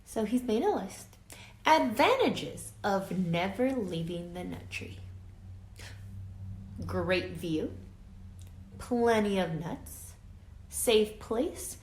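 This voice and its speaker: A woman reading a children's picture book aloud like a teacher, deliberate and emphatic, drawing out words and adding explanatory asides. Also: mid-range voice, lively intonation. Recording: echoey room, faint background noise.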